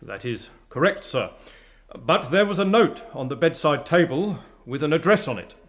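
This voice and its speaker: An actor playing a respectful, plodding English police constable giving formal, slightly hesitant testimony in a radio drama. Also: male voice, a little high, lively intonation, moderate pace, fairly bright tone. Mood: deferential, factual.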